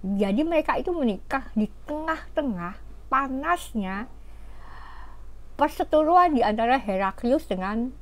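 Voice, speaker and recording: A woman's voice: high voice, lively intonation, unhurried. Woman telling history in informal speech. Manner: conversational, explanatory, with rhetorical asides. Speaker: history storyteller. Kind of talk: informal spoken history lecture.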